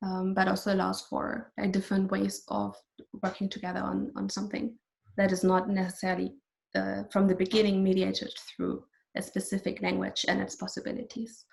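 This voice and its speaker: A woman whose first language is German, speaking English as an artist guest, thoughtful and halting. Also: medium pitch, completely flat delivery, gravelly, low-quality recording. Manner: thoughtful, halting, soft laughs. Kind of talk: casual conversation.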